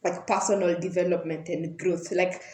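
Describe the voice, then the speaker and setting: A woman's voice: on the low side, flat delivery, normal speed, a little mellow. A woman in her late teens with a Kenyan accent, chatting in a candid, self-mocking way with a group. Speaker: podcast panelist. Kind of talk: casual group chat. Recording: echoey room.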